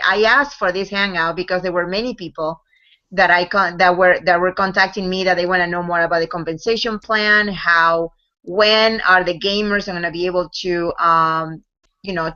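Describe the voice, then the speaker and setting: A woman's voice: on the low side, bright, forceful tone. A woman speaking as a guest on a video call, hesitant, with many fillers and restarts. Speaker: guest, group administrator. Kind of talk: casual chat on a video call. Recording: dull lo-fi video call audio.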